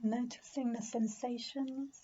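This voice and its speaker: A woman leading a guided meditation in a gentle, soothing, unhurried manner with pauses. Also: fairly high pitch, steady tone, breathy edge, muffled recording. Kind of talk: guided body scan meditation.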